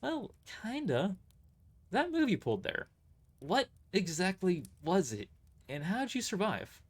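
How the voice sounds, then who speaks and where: An earnest woman soldier, played by a man in an audio drama. The delivery is polite and a little hesitant, with raised, lilting pitch. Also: wildly swooping pitch, measured pace, exaggerated voice. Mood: curious. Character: earnest, hesitant female squad soldier, grateful.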